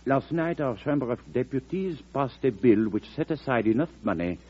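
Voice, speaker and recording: An actor playing a courteous, evasive government minister, in old radio drama style. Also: male voice, mid-range voice, animated, husky. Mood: formal, measured. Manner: formal, flowery, unhurried diplomatic politeness. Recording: muffled vintage radio recording.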